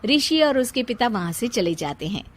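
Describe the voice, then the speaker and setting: Female narrator for scripted moral tales, voice a little higher than average. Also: very animated, moderate pace, slightly rough.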